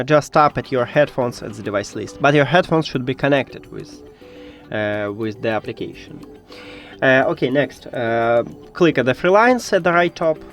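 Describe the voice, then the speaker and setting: Guy doing a how-to tech tutorial, pitch goes up and down a lot, bit halting, faint music. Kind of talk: step-by-step spoken tutorial.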